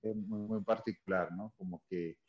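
A man's voice: sits low, fairly even intonation, normal speed. A man from Caracas with a Venezuelan accent, a guest actor telling stories in casual interview chat with many fillers and restarts. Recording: dull lo-fi sound.

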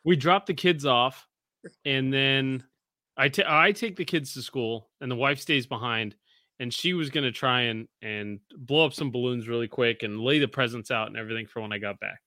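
Bright-voiced man in his forties, rambling. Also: medium pitch, normal speed, dry recording, studio quality. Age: 40s. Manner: rambling storyteller, swears freely. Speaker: podcast host. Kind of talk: casual podcast banter.

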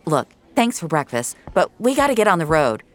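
A woman's voice: deep voice, big pitch swings, a little fast. A woman voicing a hardened but protective female fugitive in an audio thriller. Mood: guarded.

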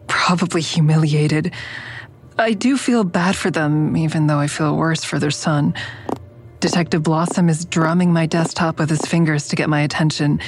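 Woman playing a low-voiced, guarded female counselor. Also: slight background noise, close to an everyday voice. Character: guarded, uneasy female counselor narrating her own story. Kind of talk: naturalistic audio drama, intimate first-person narration.

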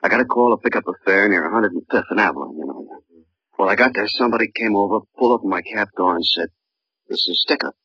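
An actor playing a jittery, talkative working-class taxi driver in 1950s radio drama, husky and colloquial. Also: male voice, on the low side, quick pace, pushed and bright, dry recording. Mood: rueful. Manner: colloquial, repetitive, emphatic. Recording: muffled old radio broadcast.

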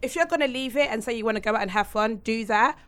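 A woman co-hosting a casual podcast chat, blunt and frank, laughing loudly. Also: fairly high pitch, quick pace, smooth. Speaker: podcast co-host.

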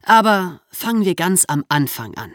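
A woman's voice: lowish voice, sing-song, moderate pace, dry recording, studio quality. A woman hosting a podcast for young listeners, explaining and storytelling in a conversational way with casual asides. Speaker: podcast host.

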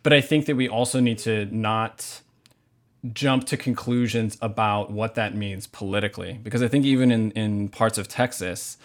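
A man's voice: lowish voice, steady tone, moderate pace. An American man from Hawaii giving earnest, reflective interview answers in conversation, searching for his words as he goes. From Hawaii, United States. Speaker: podcast guest, novelist.

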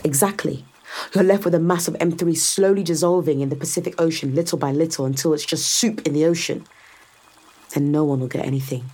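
A calculating woman in power for a science fiction radio play. Her voice sits fairly low with a husky edge. She speaks with controlled, sardonic authority, laying out her case persuasively. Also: fairly quick, dark tone. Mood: grave, matter-of-fact. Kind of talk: full-cast science fiction audio drama acting.

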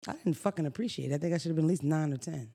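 A woman with a raspy voice co-hosting a podcast, chatting casually in a blunt, profane, storytelling way. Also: low voice, very fast, mellow. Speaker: podcast co-host. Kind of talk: casual chat.

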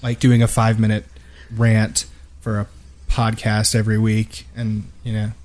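A man's voice: on the low side, completely flat delivery, normal speed, darkish tone. A man co-hosting a gaming podcast from a home studio, chatting casually in a rambling, self-deprecating way close to the microphone. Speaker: podcast host. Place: home studio with unpadded walls. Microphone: boom-mounted microphone close in front of mouth.

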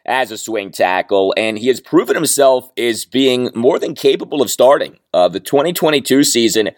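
A man hosting a sports podcast with a hoarse, fading voice from a cold, running through topics in a plainspoken, self-deprecating way. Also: medium pitch, lively intonation, normal speed, no room sound, studio quality. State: hoarse, losing his voice from a cold. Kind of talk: solo sports podcast talk.

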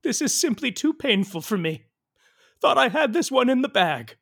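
An actor playing a vain, fretful narrator who delivers melodramatic, campy asides in a comedic audio drama. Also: male voice, very high voice, big pitch swings, moderate pace, creaky, a bit bright, full character voice. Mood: mock-anguished. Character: theatrical, vain, fretful male storyteller narrator.

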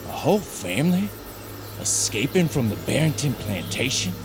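An actor playing a cruel, gloating Southern plantation owner with a menacing, mocking drawl in an audio drama. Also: male voice, medium pitch, big pitch swings, moderate pace, over loud music, lightly acted. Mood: gloating, cold.

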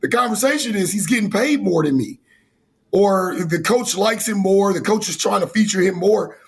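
Hoarse male college coach in his forties, husky and slightly high voice, earnest and rambling. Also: moderate pace. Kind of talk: interview answers.

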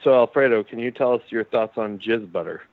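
A man's voice: medium pitch, fairly quick. Teasing man, lots of swearing, phone line. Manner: teasing, profane, fond of "like". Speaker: podcast co-host. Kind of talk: casual chat.

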